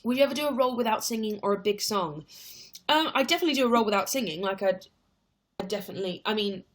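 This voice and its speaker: A woman's voice: medium pitch, racing, slight background noise. A woman in her twenties, chatting casually on a livestream, reading viewer questions aloud and answering at rambling length. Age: 20s. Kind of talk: casual live chat.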